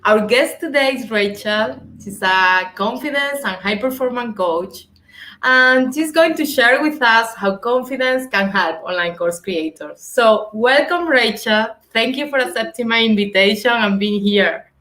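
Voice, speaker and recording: A woman hosting an online interview show, introducing her guest in a measured, polite way. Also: on the high side, pushed and bright. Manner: measured, polite, brief affirmations. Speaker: podcast host. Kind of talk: hosted interview.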